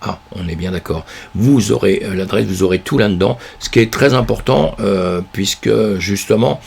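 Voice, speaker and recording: A man with a cold, hoarse and congested, commenting casually on crypto news in a rambling, chatty way, with lots of fillers and restarts. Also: on the low side, normal speed, darkish tone. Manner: chatty, addresses viewers as friends.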